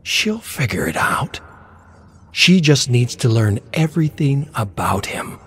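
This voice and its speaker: A man's voice: mid-range voice, sing-song, a little slow, breathy edge. A man reading a scripted story as narrator, in a dramatic style.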